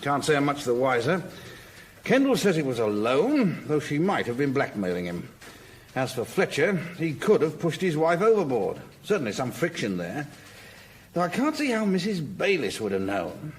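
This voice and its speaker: An actor with a British accent playing a shrewd, patient senior police detective in a radio drama, with a measured, probing and authoritative manner. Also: male voice, fairly high pitch, big pitch swings, a little hiss or hum, poor audio.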